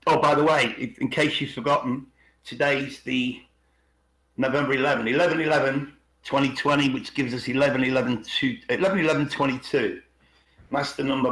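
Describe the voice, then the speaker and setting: Male podcast host, medium pitch, halting and repetitive, rambling talk, echoey call sound. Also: a bit bright. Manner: halting, repeats words, asks for agreement. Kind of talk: rambling conspiracy talk with reading aloud. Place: online video call. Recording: roomy, echoey video call.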